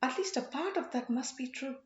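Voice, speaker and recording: A woman's voice: high-pitched, fairly quick, roomy sound, highs cut off, close to an everyday voice. A woman voicing a dazed, earnest young prince full of wonder in an audiobook story.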